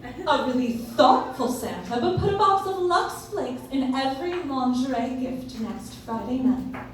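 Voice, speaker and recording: Lady doing chatty old radio soap ad, admiring tone, kinda high voice, far from mic, faint noise. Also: a little slow. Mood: admiring, promotional. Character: chatty, admiring 1940s radio commercial spokeswoman. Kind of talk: scripted 1940s radio commercial, live on stage. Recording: echoey distant audience recording, faint noise.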